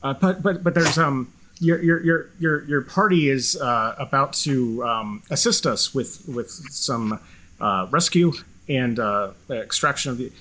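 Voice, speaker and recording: A man's voice: mid-range voice, measured pace, a bit bright, natural voice. A man voicing a nervous young male dwarf miner who stammers and apologizes. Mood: apologetic.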